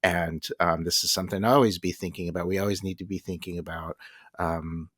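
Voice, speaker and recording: A man's voice: low voice, steady tone, a little fast, fairly bright tone. A middle-aged man co-hosting a podcast, speaking earnestly and reflectively as he wraps up. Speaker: podcast co-host. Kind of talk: podcast host wrap-up.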